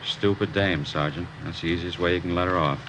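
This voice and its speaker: Man acting a bitter, low-voiced American working stiff. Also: steady tone, a little fast, lightly acted. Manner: blunt, slangy, resentful. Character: bitter, fed-up working-class welder estranged from his wife. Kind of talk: old-time radio crime drama acting. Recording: noisy old radio recording, machinery hum.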